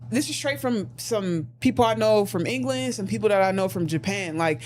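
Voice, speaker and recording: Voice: mid-range voice, animated, moderate pace, slight background noise. A co-host in a casual stream discussion, talking in a rambling way with many ums and restarts. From United States.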